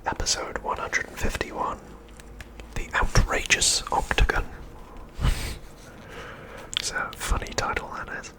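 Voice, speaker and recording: A man narrating an ASMR recap in a soft, breathy, unvoiced whisper, hushed and unhurried. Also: rough recording. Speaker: ASMR host.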